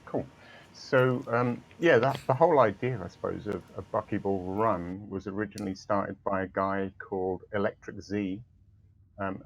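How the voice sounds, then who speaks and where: A man explaining things as a guest on a casual voice chat, calm and easygoing. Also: sits low, gravelly, dull audio. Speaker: guest, racing club organizer. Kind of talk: casual interview over voice chat.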